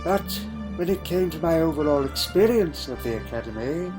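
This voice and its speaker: A man acting a wistful, halting alien wanderer over music. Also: on the high side, expressive, lightly acted. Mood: nostalgic. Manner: halting, reflective, pausing between short phrases. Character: wistful male alien time traveller recalling a lonely childhood. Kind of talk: dramatic fan audio drama. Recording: music under the voice.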